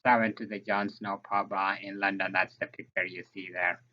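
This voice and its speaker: A man with an East African accent giving an informal slide presentation as a researcher, speaking haltingly with frequent hesitations and restarts. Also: deep voice, little pitch movement. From South Sudan, grew up in Ethiopia and Kenya. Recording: dull, muffled lo-fi audio.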